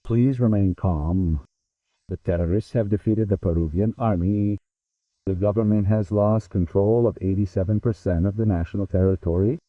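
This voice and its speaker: A man's voice: lowish voice, moderate pace, no room sound, distant mic. A man reading a scripted emergency broadcast announcement in a formal, grave manner over a tinny, phone-like channel.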